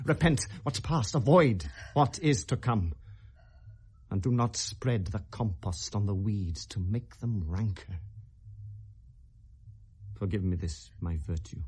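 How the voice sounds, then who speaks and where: Casting: anguished young Shakespearean prince, husky voice, RP English, impassioned and accusing, theatrical 1970s radio drama delivery. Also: male voice, lowish voice, takes it slowly, pauses often, a little mellow. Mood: urgent, accusing. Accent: British Received Pronunciation. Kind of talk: 1970s British classical radio drama acting, Shakespearean verse.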